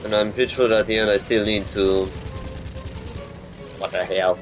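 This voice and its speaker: Muttering low-voiced male gamer. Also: fairly even intonation. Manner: muttering, halting, thinking aloud. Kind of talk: gameplay commentary. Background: game music.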